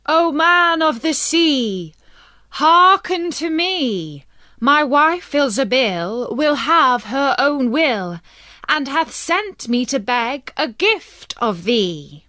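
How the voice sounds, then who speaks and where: A woman performs a humble, reluctant fisherman from a bedtime fairy tale. He sounds doleful and pleading, speaks slowly and swings widely in pitch, in a very dry recording. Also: very animated, sharp tone, no room sound, heavily acted. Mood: chanting plea. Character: meek, reluctant poor old-tale fisherman.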